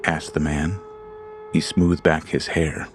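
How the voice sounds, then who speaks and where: A man narrating a horror story in a slow, measured, ominous reading. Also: rumbling low, flat delivery. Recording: studio voice-over with creepy background music.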